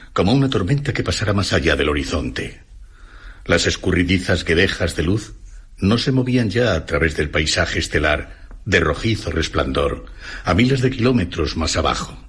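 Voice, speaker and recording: A man with a Castilian Spanish accent and a raspy voice giving a solemn, measured dramatic reading of literary prose. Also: deep voice, a bit bright. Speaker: reader of literary excerpt.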